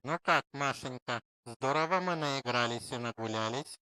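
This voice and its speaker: A woman's voice: very deep voice, moderate pace, sharp tone, clear, resonant tone, soft background music. A woman voicing a chatty, encouraging mother pig in a children's cartoon story, with a motherly, exclaiming manner. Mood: reassuring.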